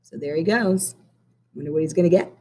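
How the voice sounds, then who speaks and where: A woman's voice: sits low, slightly rough. A woman teacher reading a picture book aloud to children, stopping to count coins with them. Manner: slow and expressive, pausing to count coins. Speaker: primary math teacher. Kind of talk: children's read-aloud lesson.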